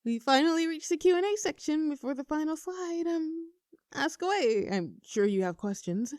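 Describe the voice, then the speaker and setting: A woman's voice: sits high, lively intonation, moderate pace, darkish tone, no echo, studio quality. A woman acting a nervous, playful young woman confessing love to her best friend in an intimate, conversational audio roleplay, teasing and earnest. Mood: wry, playful.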